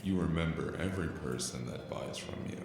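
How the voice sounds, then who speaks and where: A man's voice: a bass voice, a little fast, vocal fry, noisy background. A man playing a dry, weary, hesitant investigator in a cyberpunk noir audio drama. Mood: awkward, flirting. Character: male freelance investigator, dry, weary, awkwardly flirtatious noir loner. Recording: music behind the voice.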